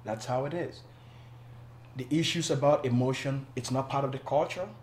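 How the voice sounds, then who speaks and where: A man from Cameroon, a guest on a panel talk show, explaining his views in a measured way with some restarts. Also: medium pitch, darkish tone, roomy sound, a little hiss or hum. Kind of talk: casual panel discussion.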